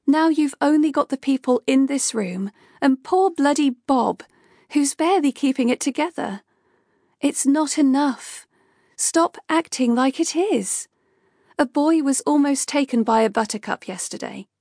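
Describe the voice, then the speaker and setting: An exasperated, outspoken young woman, read by a female narrator, firing off complaints in a high, animated voice. Her tone is forceful and scolding, with a gravelly edge in a dry close recording. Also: moderate pace, dry recording, full character voice. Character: young woman, sharp, frustrated and outspoken colleague.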